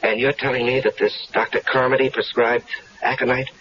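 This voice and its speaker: An actor playing a wary male lover drawn into a murder plot in a 1970s radio drama, hesitant and doubtful, with halting speech. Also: medium pitch, flat delivery, a bit bright, natural voice. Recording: dull old radio broadcast with faint hiss.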